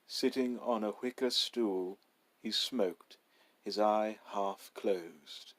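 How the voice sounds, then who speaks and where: A man reading a literary short story aloud as narrator, in a measured, dramatic style. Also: on the low side.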